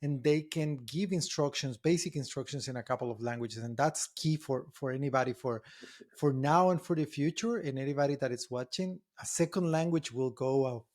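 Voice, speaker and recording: A man's voice: mid-range voice, brisk, darkish tone. A man from Costa Rica hosting an interview, speaking English in long flowing runs and asking questions. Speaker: interviewer, host.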